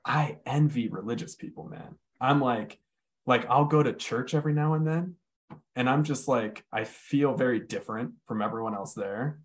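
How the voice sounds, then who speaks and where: A man's voice: mid-range voice, moderate pace. A man in a casual podcast chat, talking reflectively and searching for his words. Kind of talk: casual conversation.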